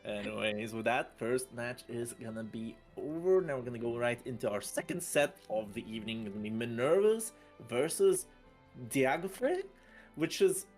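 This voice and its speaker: Man, esports play-by-play caller, lively swooping pitch, excitable outbursts. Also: a little slow, soft background music. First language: not French. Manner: excitable, with sudden loud outbursts at big plays. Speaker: esports commentator. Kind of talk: fighting game play-by-play commentary.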